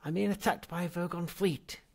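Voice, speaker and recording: A flustered younger man played by a male audiobook reader stammers in a raised, husky voice. He is casual and evasive, hesitating and pleading his way through each excuse. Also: high voice, fairly even intonation, moderate pace, pitched above the performer's own voice, heavily acted. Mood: feverish pleading.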